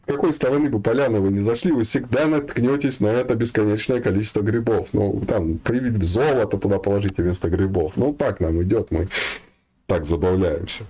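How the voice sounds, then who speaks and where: A man's voice: on the low side, a little fast, warm tone, slightly rough. A man giving an informal spiritual talk, speaking colloquially with some slang and addressing his listeners directly.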